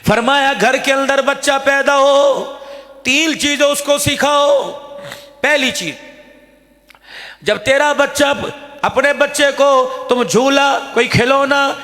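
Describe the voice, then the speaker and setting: A man preaching an impassioned Islamic sermon, emphatic, with drawn-out rhetorical questions and repetition. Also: very high voice, steady tone, pushed and bright. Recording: echoey hall with long reverb.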